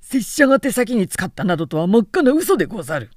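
A woman's voice: medium pitch, sing-song, fast, no echo, studio quality. Proud male samurai officer, acted by a female narrator, formal, cutting and emphatic, period storybook. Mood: cutting, indignant. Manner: stiff, cutting, formal archaic speech.

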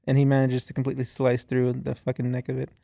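A man's voice: medium pitch, monotone, fast, creaky, warm tone, no room sound, studio quality. A man co-hosting a casual podcast chat, rambling with frequent swearing and laughs, from the southern United States.

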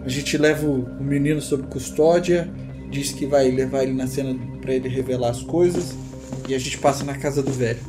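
A man's voice: medium pitch, fairly even intonation, brisk. A man playing a tabletop game, improvising his character's dialogue. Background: music.

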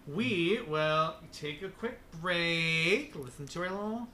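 A man's voice: a little high, expressive, takes it slowly, sharp tone, slight background noise. A young man co-hosting a casual podcast, rambling with lots of fillers and swearing freely. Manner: loose, swears a lot, rambling with many fillers. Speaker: podcast co-host.

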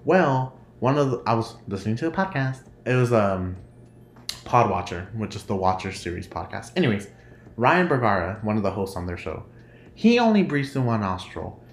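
A man's voice: on the low side, big pitch swings, normal speed. Nasal, giggly podcast host, man. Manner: rambling, giggly, laughs often. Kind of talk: casual solo podcast chat. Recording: roomy echo, faint noise.

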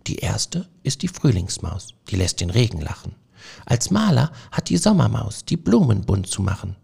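Ein Mann, der eine sanfte, verträumte männliche Feldmaus spricht, ruhig und bescheiden. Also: male voice, on the low side, normal speed, gravelly, breathy edge, pitched above the performer's own voice, exaggerated voice. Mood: reciting. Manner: soft, calm and modest. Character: gentle dreamy male field mouse poet.

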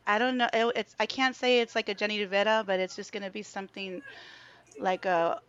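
A woman's voice: mid-range voice, fairly even intonation, fairly quick, fairly bright tone, smooth, lo-fi sound. A young adult woman singer chatting casually as a guest, hesitant and searching for words. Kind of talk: casual interview chat.

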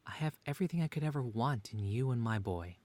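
Actor as doting, wry young father for radio play, lively swinging intonation, no room sound. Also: medium pitch, quick pace, no room sound, close to an everyday voice. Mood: earnest. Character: playfully bickering, doting stay-at-home dad. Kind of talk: two-hander audio drama reading.

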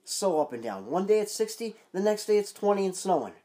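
A man's voice: high-pitched, sing-song, fairly quick, mellow. Stuffed-up man hosting a casual podcast. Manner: rambling, loose, many fillers and restarts. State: stuffed-up nose, nasal. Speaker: podcast co-host.

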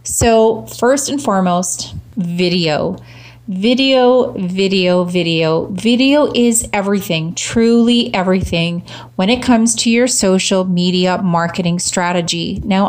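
A woman hosting a social media marketing show, talking casually and emphatically, her voice slightly rough from cheering. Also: mid-range voice, a little mellow. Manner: upbeat, emphatic repetition. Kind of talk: casual solo talk to camera.

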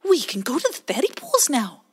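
A woman's voice: high-pitched, big pitch swings, normal speed, breathy edge, voice pushed up, full character voice. A woman doing an eager baby otter. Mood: excited. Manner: eager, earnest.

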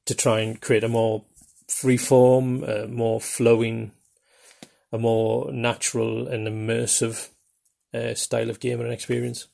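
An older man with a Geordie accent from northeast England, hosting his podcast in a rambling, self-deprecating way with many pauses and fillers. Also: mid-range voice, level tone, vocal fry, mellow. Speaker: podcast host. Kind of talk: casual monologue.

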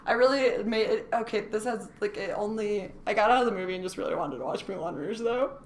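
A woman's voice: mid-range voice, quick pace. A young woman co-hosting a podcast in casual chat, joking and sarcastic, often laughing. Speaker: podcast co-host. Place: small room, mic on table. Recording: faint background noise.

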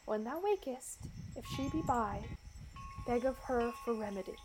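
A woman's voice: a little high, expressive, normal speed, over music, close to an everyday voice, poor audio. A woman playing a commanding, spell-casting king of the fairies in a Shakespearean radio play, speaking verse in a stately, declamatory way. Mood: hushed, incantatory.

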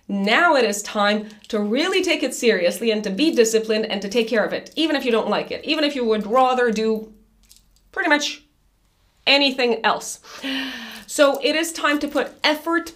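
A woman astrologer giving a monthly horoscope forecast to camera, her voice raspy and hoarse, talking in a chatty way with many fillers and restarts and fondly addressing viewers. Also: on the high side, a bit bright.

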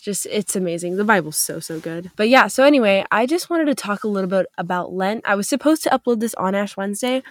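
A woman's voice: mid-range voice, animated, fast talker, hardly any pauses, dry recording. Young woman podcaster, bit stuffy from a cold, chatty and upbeat, tons of likes and restarts, dry sound. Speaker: podcast host. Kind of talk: casual faith podcast chat.

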